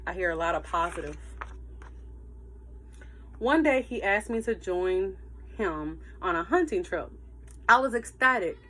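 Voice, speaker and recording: Bright, expressive woman reading to children. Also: fairly low pitch, a little slow, pauses often. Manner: expressive, emphatic storytelling. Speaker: teacher reading aloud to pupils. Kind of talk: animated children's read-aloud with asides to listeners.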